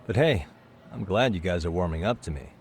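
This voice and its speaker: Deep-voiced, laid-back detective. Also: male voice, lively intonation, fairly quick. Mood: easygoing, friendly. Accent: American. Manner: casual, understated. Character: laid-back adult male detective, calm and probing. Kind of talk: video game cutscene acting, naturalistic.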